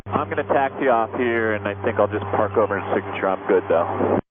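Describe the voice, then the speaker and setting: A man's voice: fairly low pitch, fairly quick, crowd noise. A man, a pilot, making casual calls to the tower over cockpit radio. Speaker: airline pilot. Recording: tinny, very noisy cockpit radio.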